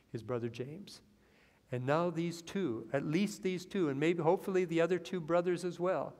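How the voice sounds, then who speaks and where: A man in his fifties preaching a sermon from a church pulpit, earnest and rising into excitement as he reads scripture. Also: fairly high pitch. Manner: earnest preaching that swells with excitement, lines read from the Bible. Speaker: pastor. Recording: long hall reverb in a church.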